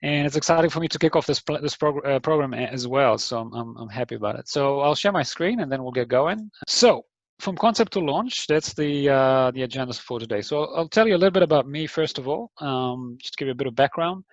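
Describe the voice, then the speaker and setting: A man's voice: mid-range voice, animated, fairly quick, dry recording, dull audio. A man with an Israeli accent tinged by New Zealand, giving a hesitant, friendly online talk to startups, full of ums and restarts. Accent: Israeli English with some New Zealand influence. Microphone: video call mic.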